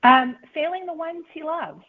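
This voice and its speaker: A woman's voice: high voice, moderate pace. A woman author giving fluent, concise answers in a conversational interview. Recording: slightly dull, band-limited audio.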